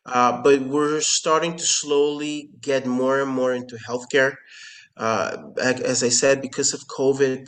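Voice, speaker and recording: Earnest man. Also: mid-range voice. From Curacao. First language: Papiamento. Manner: earnest, repeats words as he searches for phrasing. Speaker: company founder, interview guest. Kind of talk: explanatory business conversation. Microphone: remote call.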